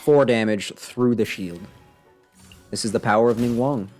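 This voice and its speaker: A man's voice: medium pitch, normal speed, a little mellow, faint music behind. A man doing casual live game commentary, grumbling wryly and talking to himself and the game. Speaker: game streamer. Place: live stream, game music behind the voice.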